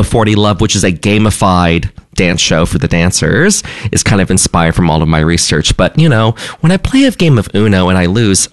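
A man in his thirties with a low voice and an American accent. He talks as a radio interview guest, lively and chatty, and laughs often. The sound is dry, with no room around it. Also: dry recording. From Hong Kong. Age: 30s. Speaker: guest dancer.

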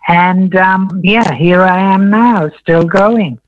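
An elderly man in his eighties from Wales recalls his life at a leisurely, unhurried pace, pausing often with ums. His voice sits a touch high for a man. The sound is dry and a little dull, like a radio studio feed. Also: no room sound. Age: 80s. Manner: slow, meandering, with many ums. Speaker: guest, veteran entertainer. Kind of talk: relaxed radio interview storytelling.

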